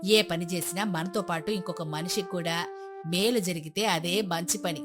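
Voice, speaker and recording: A woman voicing a kind, wise elderly village grandmother in an animated-style moral story, gently giving advice. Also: fairly low pitch, moderate pace, over music, voice pushed down, close to an everyday voice. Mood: calm, wise.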